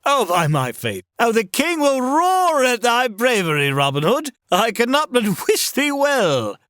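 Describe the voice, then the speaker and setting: Man acting a medieval chancellor, amused, declamatory, old radio adventure style, slow pace, dry sound. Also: extremely high pitch, wildly swooping pitch, fairly bright tone, no echo, full character voice. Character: jovial, mocking royal lord chancellor in medieval England.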